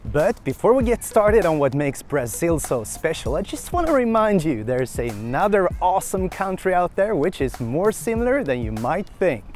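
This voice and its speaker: A man's voice: a little high, a little mellow. An enthusiastic man hosting a travel vlog, filming himself at arm's length outdoors. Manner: upbeat and enthusiastic. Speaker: vlogger host. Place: outdoors on a sunny beach and park. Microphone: selfie camera at arm's length.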